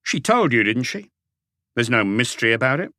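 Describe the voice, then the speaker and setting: A man's voice: medium pitch, big pitch swings, moderate pace, bright, forceful tone, no echo, studio quality. A man voicing a self-satisfied, disdainful male suspect in his late twenties for an audiobook. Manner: smug, insistent.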